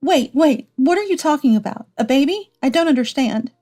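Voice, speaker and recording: An earnest, wounded wife and mother, acted by a woman narrator, speaks pleadingly in a story drama. The voice is fairly high and soft-toned. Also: normal speed, faint music behind. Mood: shocked. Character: adult wife and mother, hurt but protective.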